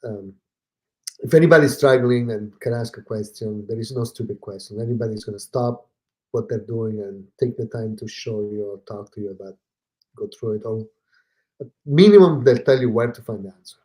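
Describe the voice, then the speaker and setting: A man from Italy with an Italian accent, a podcast guest talking casually and haltingly, with many fillers and restarts. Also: lowish voice, mellow, rough recording. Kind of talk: casual conversation.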